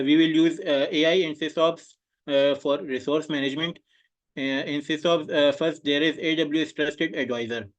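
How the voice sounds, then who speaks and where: A young man giving an oral exam presentation over a video call, speaking hesitantly with many filler sounds. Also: a little high. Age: young adult. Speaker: student presenter.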